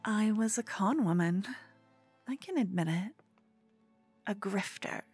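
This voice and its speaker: A woman playing a cute, obsessed con-woman fangirl in a comedic ASMR roleplay, soft, often whispery, eager and cheekily salesy. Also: mid-range voice, lively intonation, measured pace, breathy edge, soft background music. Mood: cheeky. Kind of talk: ASMR roleplay, comedic one-sided dialogue.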